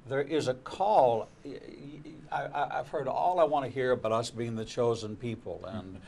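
A man's voice: mid-range voice, normal speed, darkish tone, a little hiss or hum. An older man, a rabbi hosting a TV studio panel, speaking in an earnest preaching tone and repeating words as he goes. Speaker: rabbi and show host. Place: TV studio set.

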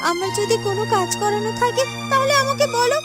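A woman's voice: extremely high pitch, quick pace. A woman voicing a meek, dutiful young village girl in a cartoon, anxious and eager to please. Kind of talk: cartoon voice acting. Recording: music behind the voice.

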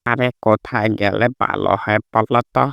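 Cartoon crow, male actor, chatty and gullible, grumbles to himself. Very clean, smooth voice, dry close sound. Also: fairly low pitch, fairly even intonation, normal speed, fairly bright tone, no room sound. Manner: colloquial, exclaiming, muttering to himself. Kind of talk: cartoon character dialogue.

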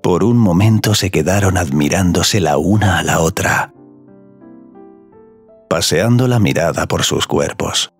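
A man's voice: low voice, lively intonation, unhurried, husky, soft background music. A man narrating a scripted audio story.

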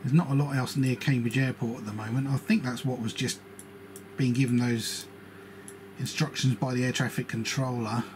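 Male plane-spotting hobbyist, casual and opinionated, grumbling commentary, clear background noise. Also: medium pitch, steady tone, normal speed. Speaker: aviation hobbyist narrating radio scanner and flight tracker. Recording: radio and ambient noise behind voice.

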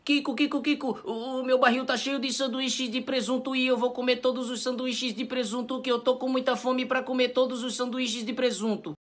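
Whiny greedy tv sitcom kid, pitched way up, keeps repeating himself. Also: male voice, little pitch movement, a little fast, hardly any pauses, slight background noise. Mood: whiny, boastful. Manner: whining, insistent, repetitive.

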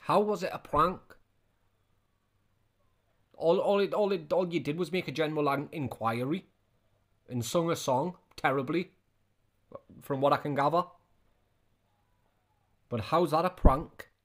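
A man's voice: fairly high pitch, a little slow, long pauses. A man in his thirties giving casual, scornful reaction commentary, often repeating his questions. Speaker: reaction video host.

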